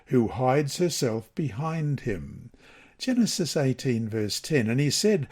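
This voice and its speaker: A man from Queensland, reading a Bible study lesson aloud in a measured way and slowing for quoted scripture. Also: mid-range voice, darkish tone, slightly rough. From Queensland, Australia.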